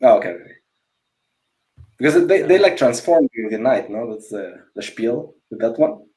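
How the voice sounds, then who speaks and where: A man's voice: mid-range voice, big pitch swings, normal speed, mellow, low-quality recording. A man co-hosting a casual livestream, asking lots of curious questions and often restarting his words. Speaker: co-host.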